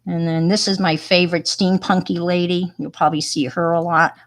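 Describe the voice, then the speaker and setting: A woman's voice: lowish voice, flat delivery, brisk. A retired woman teacher with a New York accent, chatting casually while showing her artwork, rambling and poking fun at herself. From Long Island, New York. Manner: rambling, self-deprecating, chatty with fillers. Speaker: retired teacher and hobby artist. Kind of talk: casual show-and-tell chat.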